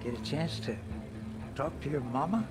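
A man's voice: fairly high pitch, expressive, normal speed, close to an everyday voice. An actor playing an old crime boss in a video game, a man speaking in a soothing yet menacing way. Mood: calm menace. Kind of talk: video game cinematic acting. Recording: loud music under the voice.

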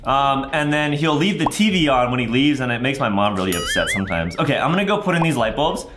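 A man in his twenties giving exaggerated, theatrical gaming commentary for kids as an excitable host, often raising his voice to a shout. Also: medium pitch, quick pace. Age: 20s. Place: small room at home, indoors. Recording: roomy echo with loud background music. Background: music.

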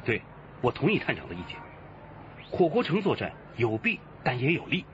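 A man's voice: medium pitch, very animated, normal speed, lightly acted. An actor playing a resolute, orderly male police detective in a Chinese radio crime drama. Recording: muffled band-limited audio, faint background noise.

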